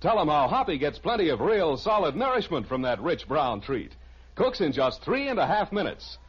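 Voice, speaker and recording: A muffled vintage broadcast of an American male announcer. His husky, medium-pitched voice swoops dramatically as he makes a folksy, persuasive radio sales pitch. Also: big pitch swings, moderate pace. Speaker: commercial announcer. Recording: muffled old radio recording.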